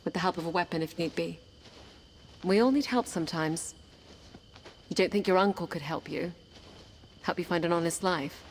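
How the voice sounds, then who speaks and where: A woman playing a calm, earnest, determined young blind princess in an audio game. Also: lowish voice, moderate pace, pauses often, slight background noise, natural voice. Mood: calm, gentle. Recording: music behind the voice.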